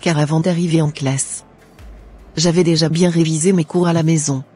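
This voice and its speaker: Cartoon schoolgirl, played by a woman: studious and devout, very low, mellow voice over music. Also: steady tone, normal speed, husky. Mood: calm, assured. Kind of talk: scripted cartoon dialogue. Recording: music behind the voice.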